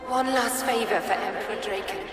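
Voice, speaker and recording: A woman voicing a sorrowful, dazed female spirit in a video game, speaking in halting fragments. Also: medium pitch, natural voice. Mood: haunted, remembering. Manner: halting, fragmented phrases with long pauses. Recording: echoey, long reverb, music underneath.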